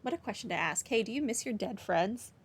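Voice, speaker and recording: A woman's voice: a little high, lively intonation, brisk, breathy edge. A woman giving casual playthrough commentary as a gaming host, with wry, offhand remarks.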